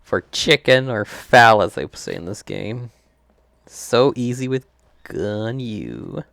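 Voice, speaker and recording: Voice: mid-range voice, animated, slow, gravelly, a bit bright, dry recording. A game streamer giving casual, chatty playthrough commentary and reacting aloud to the game.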